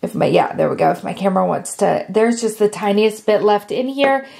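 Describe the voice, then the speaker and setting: A woman's voice: mid-range voice, expressive, fairly quick, vocal fry, a little mellow. A woman, sick and sniffly, chatting casually to camera as a beauty vlogger. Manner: chatty and friendly, with frequent fillers.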